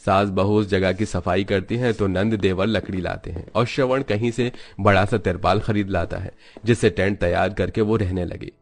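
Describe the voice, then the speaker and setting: Guy narrating a moral story from a script, fairly low voice. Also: steady tone, normal speed, hardly any pauses.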